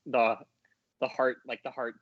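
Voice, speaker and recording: A man of average pitch talks casually as an interview guest. He feels his way through sentences, pausing to find words. The sound is dry and slightly muffled. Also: level tone, no echo. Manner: hesitant, searching for words. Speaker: audio drama voice actor, podcast guest.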